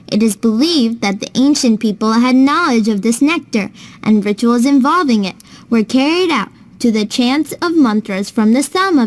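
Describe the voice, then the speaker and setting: A woman playing a knowledgeable big sister in a children's cartoon, with a fairly high voice. She explains patiently and kindly, as if telling a lesson to a younger child. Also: lightly acted. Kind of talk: scripted children's educational storytelling.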